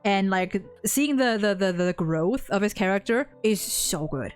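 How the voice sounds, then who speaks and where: A woman's voice: mid-range voice, animated, measured pace, faint music behind. A woman chatting casually and effusively as a host discussing a game's story, with plenty of enthusiasm. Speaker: video host.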